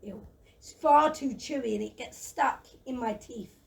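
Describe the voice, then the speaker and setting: A woman in a children's audio play voicing a gentle, lazy, timid dragon who chatters in a plaintive, excitable, wordy way. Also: a little high, a little slow, gravelly. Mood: chatty, confiding. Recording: noisy, slightly distant microphone.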